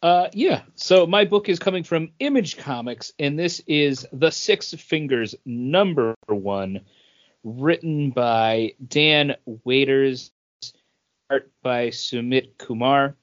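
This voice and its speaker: A man's voice: medium pitch, expressive, takes it slowly. Male podcast co-host, mid-range voice, casual conversational delivery, slightly lo-fi audio. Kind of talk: casual comic book chat.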